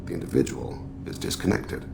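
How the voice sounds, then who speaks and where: Gravelly, extremely deep alien assassin. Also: male voice, animated, background noise, exaggerated voice. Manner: measured, formal, introspective phrasing. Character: solemn alien assassin, grieving, regretful estranged father. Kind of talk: video game dramatic dialogue.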